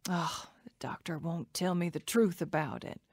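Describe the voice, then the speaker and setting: A woman's voice: lowish voice, steady tone, normal speed, a little mellow, no echo, lightly acted. An actress playing a weary, despairing mother in her forties who rambles and repeats herself in a radio drama. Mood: hopeless. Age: 40s.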